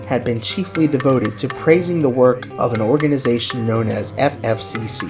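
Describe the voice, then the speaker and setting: A man narrates a dramatized audiobook in a medium-pitched voice. He reads scripted literary prose, and music plays clearly behind him.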